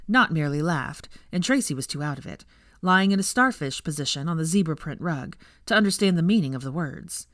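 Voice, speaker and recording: A woman reading a story aloud in an expressive scripted narration style. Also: low-pitched, brisk, no echo, studio quality. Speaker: fan fiction narrator.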